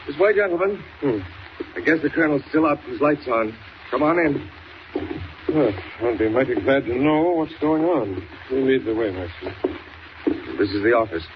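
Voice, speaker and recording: An actor playing a blustering, stubborn middle-aged governor in a 1940s radio serial. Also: male voice, medium pitch, animated, moderate pace, lightly acted. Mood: puzzled. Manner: blustering, exclamatory. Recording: noisy, dull old radio transcription.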